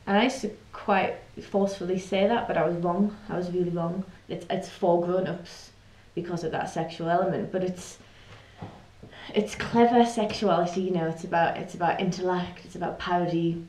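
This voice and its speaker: A woman gives reflective interview answers in a slightly low voice. The room is echoey, with faint noise behind her. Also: steady tone, normal speed, darkish tone. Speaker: burlesque performer.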